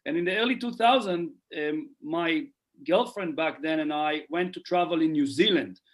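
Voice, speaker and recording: A man's voice: fairly high pitch, normal speed. A middle-aged man from Israel, speaking earnestly as a podcast guest and often repeating phrases as he thinks. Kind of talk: casual podcast conversation.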